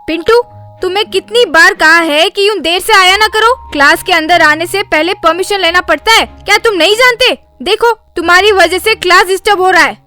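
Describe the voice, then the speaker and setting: A woman's voice: very high voice, normal speed, sharp tone, faint music behind. A woman voicing a stern, scolding schoolteacher in a comedy cartoon. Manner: scolding, indignant. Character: stern female schoolteacher scolding a late pupil. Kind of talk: Hindi comedy cartoon voice acting.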